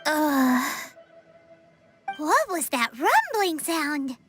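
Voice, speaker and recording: A tiny, greedy fairy sidekick from an anime game, with a squeaky childlike voice. The delivery is exclamatory and unhurried, with big swings in pitch. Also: female voice, big pitch swings, exaggerated voice. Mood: uneasy. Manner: speaks of self in third person, exclamatory. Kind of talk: anime video game voice acting. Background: game music.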